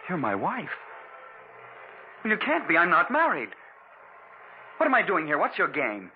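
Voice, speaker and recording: A man's voice: high-pitched, huge pitch swings, unhurried, exaggerated voice. An actor playing an agitated, suspicious man with amnesia in a 1940s radio thriller. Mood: confused, indignant. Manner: agitated, questioning, disbelieving. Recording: muffled, noisy old radio recording.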